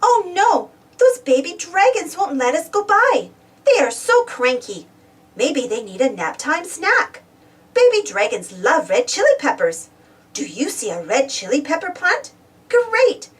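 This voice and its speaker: A woman's voice: high voice, big pitch swings, unhurried, distant mic. A woman reading a children's story aloud, exclaiming and putting questions to young listeners. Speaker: storybook reader. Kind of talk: animated children's read-aloud narration.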